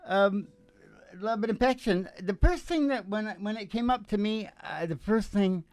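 A man's voice: sits high, expressive, normal speed, a little mellow. A man in his sixties talking casually and jokingly on stage between songs, in a rambling conversational way, into a vocal microphone. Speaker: musician and show host. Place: small live music venue, on stage. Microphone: stage vocal microphone on a stand. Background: acoustic guitar.

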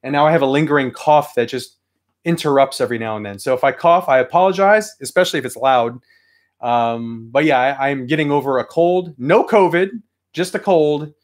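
A man's voice: medium pitch, brisk. A man in his thirties, getting over a cold, chatting casually to a live stream chat from a toy-filled room at home. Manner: rambling, chatty, reads chat aloud. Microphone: webcam at desk.

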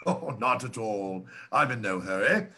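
An actor playing a blustering old gentleman with a gouty temper, chuckling and quick to anger in a stage play. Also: on the low side, lively intonation. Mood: suspicious.

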